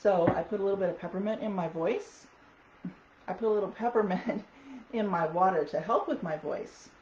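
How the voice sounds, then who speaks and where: A woman vlogger with a raspy, hoarse voice chatting casually about wellness products, with lots of ums. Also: medium pitch, normal speed, a little mellow. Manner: chatty, many ums, reads product notes aloud. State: hoarse from talking. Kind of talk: casual product talk to camera. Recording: echoey dull audio with faint noise.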